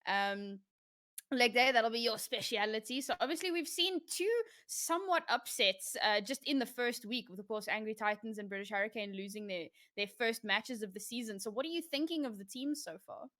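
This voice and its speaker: A woman with a fairly high voice hosts a live podcast in a casual interview style. She chats conversationally with her guests and is quick to laugh. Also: lively intonation, pushed and bright. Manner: laughs easily and often. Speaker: podcast host.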